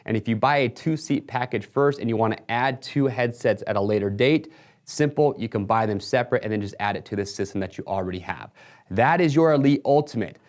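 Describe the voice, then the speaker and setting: A man's voice: medium pitch, brisk, a little mellow. Man presenting a product walkthrough. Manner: casual, explanatory sales patter. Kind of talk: product walkthrough for a retailer video.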